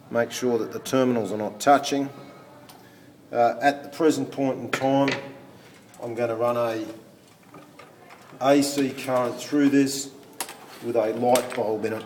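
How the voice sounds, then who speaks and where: A man explains a physics demonstration step by step as a teacher, haltingly thinking aloud while he handles equipment. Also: mid-range voice. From Australia. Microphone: phone camera some way off. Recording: distant phone recording, background hiss.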